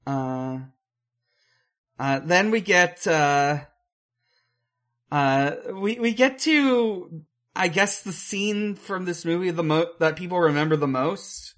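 Podcast co-host, slow pace, hesitant phrasing, medium pitch, casual swearing, informal film chat. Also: a bit bright.

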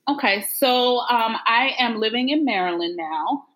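A woman's voice: on the high side, expressive, measured pace, fairly bright tone, low-quality recording. A woman from New York, a guest in a casual interview, talking frankly and conversationally with lots of "like" and "you know". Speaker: guest, adoptee.

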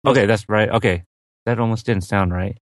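A man's voice: fairly low pitch, steady tone, fairly quick, no echo, studio quality. A man whose second language is English, co-hosting a casual film discussion and hesitating with many fillers. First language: not English. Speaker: film podcast co-host.

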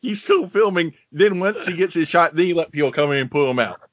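A man's voice: on the high side, animated, brisk, highs cut off. A man co-hosting a podcast, recapping scenes in a dry, sarcastic and profane way. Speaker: podcast co-host. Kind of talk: casual chat, recapping a film.

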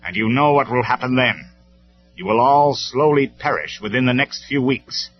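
A man's voice: mid-range voice, normal speed, voice pushed up, lightly acted. A man voicing a noble robot commander in a storybook reading, speaking in a stern, formal, declamatory way. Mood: stern, harsh. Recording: dull lo-fi recording with music.

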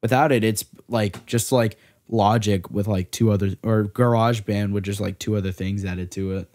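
A man's voice: fairly low pitch, steady tone, normal speed, a little mellow, no echo, studio quality. A young man in casual podcast chat, teasing and joking with his co-host.

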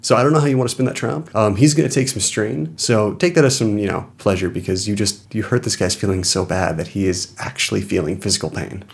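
A man with a deep voice and plenty of fry runs a tabletop role-playing game. He narrates casually, with a wry conversational touch. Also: fast talker, few pauses. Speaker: game master.

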